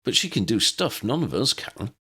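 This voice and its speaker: A man's voice: medium pitch, sing-song, moderate pace, sharp tone, breathy edge, full character voice. A man voicing a blunt, informal, puzzled young male friend in an audiobook.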